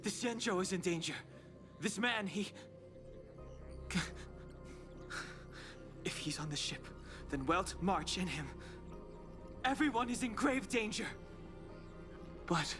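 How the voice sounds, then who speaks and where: A man's voice: high-pitched, animated, unhurried, music in the background. An actor playing a guarded, brooding young man in a video game. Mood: alarmed.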